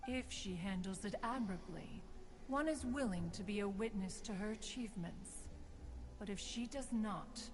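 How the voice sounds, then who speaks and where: A woman's voice: mid-range voice, big-room sound, slight background noise, close to an everyday voice. An actress voicing an ancient female crane spirit in a game, speaking in formal, archaic phrasing. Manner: formal archaic speech, refers to herself as one. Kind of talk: game character dialogue.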